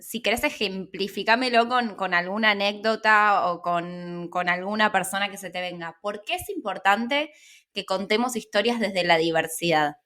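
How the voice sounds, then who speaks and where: A woman's voice: mid-range voice, fairly bright tone. Woman, Rioplatense Argentine accent, casual interviewer, informal hesitant phrasing, mid-range voice. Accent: Rioplatense Argentine Spanish. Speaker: interviewer, host.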